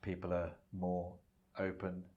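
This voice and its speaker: A man from with a low, deep voice, chatting as a podcast guest. He thinks aloud, circling back with fillers and restarts, and his was Punjabi. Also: completely flat delivery, unhurried, a little mellow, lo-fi sound. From London, England. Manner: thoughtful, rambling with many fillers. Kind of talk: casual interview chat.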